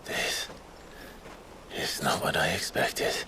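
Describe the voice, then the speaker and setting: A man's voice: takes it slowly, pushed and bright, slight background noise. A man playing a stoic, courteous warrior traveller in a dramatic video game cutscene, terse and restrained in delivery. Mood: wry, hushed. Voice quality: very deep, gravelly.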